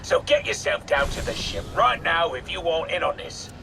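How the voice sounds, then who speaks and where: A man's voice: on the high side, lively intonation, normal speed, gravelly, noisy background. An actor playing a cocky, blustering gangster boss, talking like a showman. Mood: boastful. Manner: brash, boastful announcer. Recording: distant voice over loud music.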